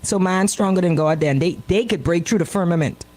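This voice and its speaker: A woman with a Bahamian accent who co-hosts a radio show, chatting casually in an animated, opinionated and emphatic way. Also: fairly low pitch, a little fast. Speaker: radio host.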